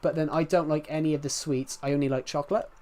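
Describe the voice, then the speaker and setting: A man's voice: fairly high pitch, fairly even intonation, fast, darkish tone. A young man from the UK with a thick regional British accent, chatting casually and rambling with his live stream viewers. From United Kingdom. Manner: rambling, many restarts, chatty and candid.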